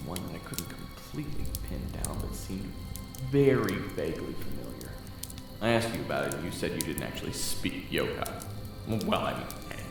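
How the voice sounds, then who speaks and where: A man with a lowish voice narrates a roleplay story to the listener in a steady storytelling manner. The voice rings out with heavy room echo, and music plays clearly beneath it. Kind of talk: second-person roleplay narration. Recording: very echoey with music. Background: background music.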